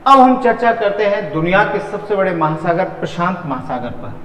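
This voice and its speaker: A man's voice: high voice, animated, moderate pace, a bit bright. A man teaching in an exam-prep lecture style, repeating phrases and asking questions that he answers himself. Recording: echoey hall-like room reverb.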